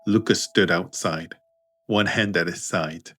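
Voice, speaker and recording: A man narrating scripted fiction as an audiobook, in a measured, understated manner. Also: deep voice, a bit bright, husky. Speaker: author and narrator.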